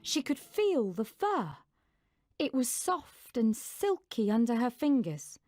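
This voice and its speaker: Expressive woman narrator, slow and higher-pitched. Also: no echo, studio quality, faint music behind. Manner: expressive, acts out dialogue. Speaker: storyteller. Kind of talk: scripted children's story narration.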